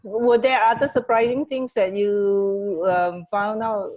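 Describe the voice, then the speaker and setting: A woman's voice: mid-range voice, fairly even intonation, slow, a little mellow, highs cut off, soft background music. A woman co-hosting a radio show in casual conversation, musing aloud in a slightly hesitant way. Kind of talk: casual chat.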